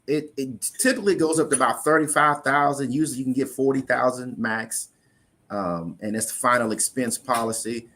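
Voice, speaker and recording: A man's voice: medium pitch, fairly quick, fairly bright tone. Earnest man explaining insurance plainly. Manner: earnest, explanatory, repeats words when restarting. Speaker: insurance investment guest. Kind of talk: conversational interview explaining.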